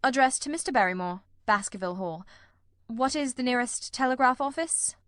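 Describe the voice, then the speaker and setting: A woman voicing a shrewd, composed gentleman detective in a storybook, formal, measured and authoritative. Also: fairly high pitch, animated, dry recording, studio quality, voice pushed up. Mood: brisk, commanding.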